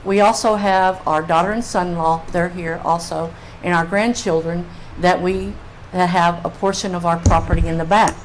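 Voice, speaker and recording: An older woman with a fairly low voice gives public comment as a local resident. She talks informally into a meeting microphone, with faint room noise behind her. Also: fairly even intonation, normal speed, few pauses, low-quality recording.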